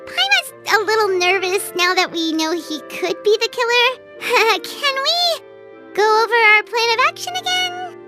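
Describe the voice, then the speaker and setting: A woman voicing a small, childlike fairy companion in a video game, excitable, nervous and squeaky. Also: unhurried, over loud music. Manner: speaks of self in third person, squeaky and exclamatory.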